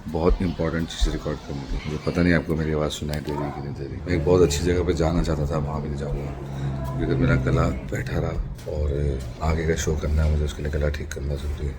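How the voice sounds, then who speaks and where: A man with a hoarse, sore throat talking with effort to his phone camera at home for a family vlog. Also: level tone, quick pace, noisy background. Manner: strained, low, effortful speech. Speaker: vlogger. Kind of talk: casual vlog chat. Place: home kitchen.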